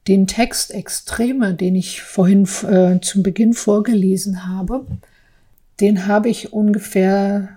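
A woman gives a personal account in a measured, deliberate way. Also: mid-range voice, fairly even intonation, dark tone, slightly rough. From Berlin, East Germany. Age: 40s. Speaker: artist telling her own story. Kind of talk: personal account for a podcast, partly read aloud.

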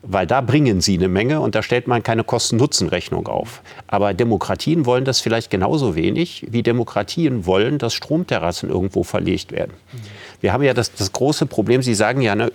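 A man's voice: fairly low pitch, expressive, brisk, gravelly, a little mellow. A man interviewing a guest, asking probing questions in a conversational way and building his points while thinking aloud.

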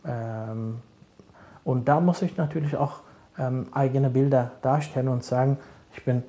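A middle-aged man, a social worker, answering interview questions calmly and thoughtfully, often pausing to search for words. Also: medium pitch. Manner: calm, measured, searching for words with many fillers. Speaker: social worker advising fathers.

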